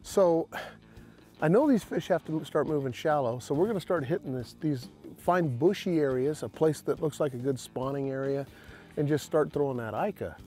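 A middle-aged man hosting a fishing show, explaining tackle in a folksy way from a bass boat on an open lake. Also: normal speed, soft background music. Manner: folksy, excited when landing fish. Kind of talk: outdoor fishing instruction.

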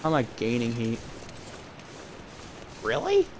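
A man casually commenting while he plays a game, in a calm, fairly monotone voice that drifts into rambling asides. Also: medium pitch, unhurried, slight background noise. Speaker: gameplay streamer.

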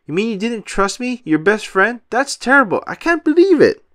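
A man performs a dim, big-hearted cartoon starfish for a storybook. He sounds childlike and naive, quick to get worked up, with big swings in pitch. The recording is dry. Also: very animated, a bit bright, dry recording. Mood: upset, yelling. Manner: naive, pleading, easily worked up.

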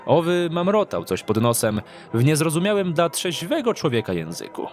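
A man's voice: a little high, sing-song, normal speed. A man narrating a scripted story in a dramatic audiobook style. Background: background music.

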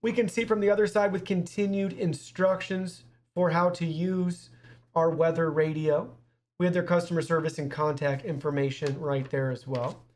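A man's voice: a little high, flat delivery, moderate pace, darkish tone. A young man presenting a product review in an upbeat, presenterly way in a small studio. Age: young adult. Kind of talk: scripted product review to camera.

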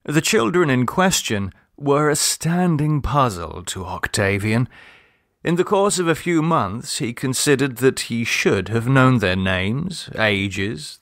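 A man reading a literary short story aloud in a measured, expressive storytelling manner. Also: medium pitch, no room sound, studio quality. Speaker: audiobook reader. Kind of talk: scripted literary story narration.

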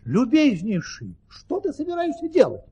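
An actor playing a jovial, boastful baron, telling tall tales theatrically in a grand, courteous, exclamatory manner. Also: male voice, very high voice, huge pitch swings, normal speed, warm tone, husky, exaggerated voice. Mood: delighted, inviting. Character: jovial boastful baron recounting his tall-tale travels. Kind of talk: theatrical audio-play storytelling.

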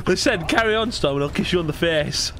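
A man's voice: on the high side, lively intonation, fairly quick, fairly bright tone, a little hiss or hum. A man bantering through an online game roleplay, cheeky, teasing and sweary. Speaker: gaming streamer, roleplayer. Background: game vehicle sounds and music.

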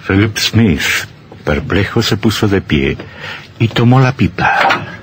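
An actor playing a grave storyteller narrating a horror radio drama. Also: male voice, on the low side, animated, slow pace, a little hiss or hum. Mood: grave, suspenseful.

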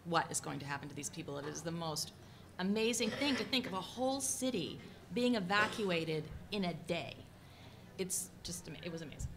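A woman book editor on a conference panel, gushing with excitement about a book. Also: lowish voice, a little fast, crowd noise.

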